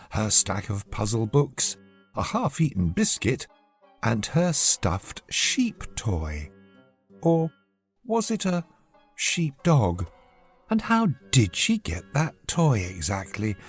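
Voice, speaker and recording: A narrator reads a scripted bedtime story for children. The delivery is slow and measured but very expressive in tune, with music playing behind. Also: mid-range voice.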